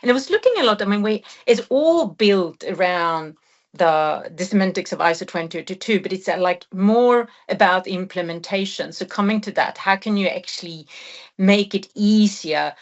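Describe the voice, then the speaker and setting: A woman's voice: medium pitch, expressive, normal speed, lo-fi sound. A woman speaking as an expert guest in a podcast interview, explaining ideas in a thoughtful way with fillers.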